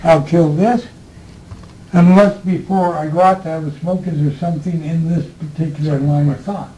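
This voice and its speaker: Slow, reflective man on old tape. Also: fairly high pitch, fairly even intonation, dark tone, low-quality recording. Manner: slow, reflective, laughs between remarks. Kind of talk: recorded group conversation. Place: room with a small group. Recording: old tape recording with faint hiss.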